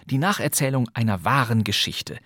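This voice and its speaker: A male podcast host narrates in a lively, chatty tone, speaking directly to his audience. His voice sits at a middle pitch. The recording is dry and close. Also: moderate pace, no room sound. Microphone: close studio microphone.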